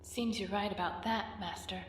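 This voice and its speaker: A woman's voice: medium pitch, steady tone, normal speed, slight background noise, close to an everyday voice. A woman playing a curious, keen young Jedi in an audio comic drama. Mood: keen, focused. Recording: distant, echoey reverb. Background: music.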